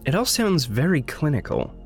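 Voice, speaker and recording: Earnest young undercover man, guilt-driven, questioning, halting, very animated intonation, audio drama. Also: on the high side, soft background music. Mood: skeptical.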